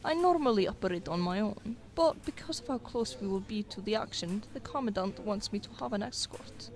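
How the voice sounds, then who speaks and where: A woman's voice: medium pitch, expressive, brisk, a little mellow, lightly acted. A woman playing a cool, commanding Soviet sniper lieutenant in a radio drama. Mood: businesslike.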